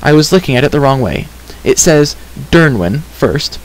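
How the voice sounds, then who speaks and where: A man's voice: fairly high pitch, animated, moderate pace, slight background noise, voice pushed up, close to an everyday voice, rough recording. A man voicing a headstrong, impatient girl in an emphatic, chattering way for a storybook reading. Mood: intent. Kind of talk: audiobook character reading.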